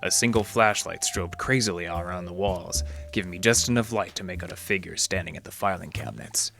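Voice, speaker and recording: An actor playing a wry, deadpan library detective who narrates his case in hardboiled old radio style. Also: sits low, a little fast, gravelly, soft background music, exaggerated voice. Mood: tense, wry narration. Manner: deadpan, sardonic, slangy.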